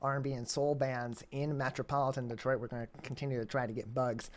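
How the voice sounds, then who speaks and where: A young man hosting a local community TV interview over a video call, with a clear, announcer-like delivery. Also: mid-range voice, level tone, quick pace. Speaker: interview host. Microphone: webcam or laptop mic on a video call.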